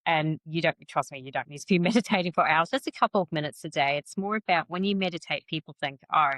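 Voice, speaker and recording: Bright-voiced woman, chatty medium guest. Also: sits low, fast talker, no room sound. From New Zealand and Malaysian family. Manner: chatty, self-deprecating, laughs often. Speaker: podcast guest, psychic medium. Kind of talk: casual interview conversation.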